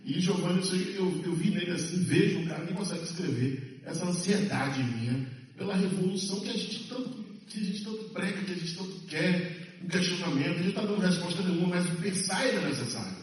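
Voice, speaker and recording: A man's voice: high voice, lively intonation, fairly quick. A man from Salvador, an actor speaking at a press conference, emphatic and passionate, repeating phrases for stress. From Salvador, Bahia, Brazil. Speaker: stage and TV actor. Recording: echoey hall, mic far off.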